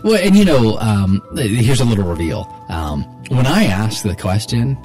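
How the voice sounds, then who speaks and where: A man's voice: lowish voice, sing-song, a little slow, darkish tone. A middle-aged man co-hosting a podcast in casual chat, rambling and repeating words as he works through a thought. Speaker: podcast host and writer. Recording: music playing behind the voice. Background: music.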